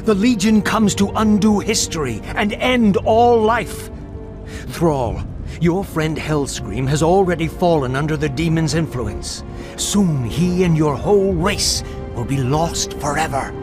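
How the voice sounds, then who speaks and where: A man's voice: a little high, unhurried, over music, natural voice. An actor playing a stern, mysterious male prophet in a video game, speaking with grave, portentous, theatrical weight. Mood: foreboding.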